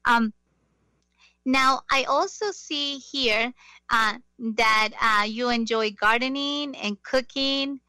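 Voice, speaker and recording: A woman's voice: a little high, slow, bright, forceful tone, dry recording, poor audio. A middle-aged woman hosting an interview in a chatty, self-deprecating way with many fillers. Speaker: interview host. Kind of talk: casual interview chat.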